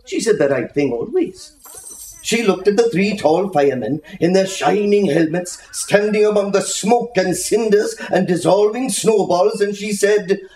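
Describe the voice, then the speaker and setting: A man giving a theatrical, expressive literary reading, savouring the phrases as a storyteller. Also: sits high, a little slow. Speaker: reader, storyteller. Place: live reading in an indoor shop. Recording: echoey room with faint background noise.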